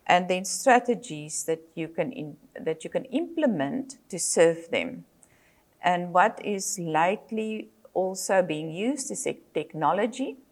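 Older South African woman, Afrikaans native; measured lecturer, fillers and restarts, slightly low voice. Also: lively intonation. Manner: measured, with frequent fillers and self-corrections. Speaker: psychologist and lecturer. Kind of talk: presentation lecture.

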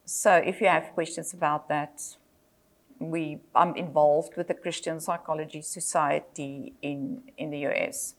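A woman's voice: sits low, fairly even intonation. Older South African woman lecturing. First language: Afrikaans. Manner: measured, with frequent fillers and self-corrections. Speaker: psychologist and lecturer. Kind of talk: presentation lecture.

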